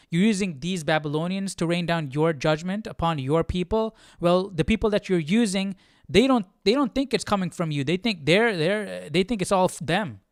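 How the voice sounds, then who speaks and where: Higher-voiced man, close dry sound. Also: no room sound. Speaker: Bible study livestream host. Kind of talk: casual Bible study commentary, reading aloud and explaining.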